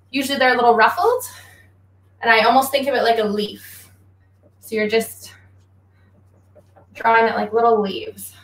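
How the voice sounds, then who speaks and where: A young woman giving a relaxed, chatty drawing lesson, explaining each step while she draws at home, speaking away from a laptop mic. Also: a little high, reverberant, poor audio. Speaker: guest athlete teaching drawing. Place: small home room. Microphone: laptop mic from across the room, facing away.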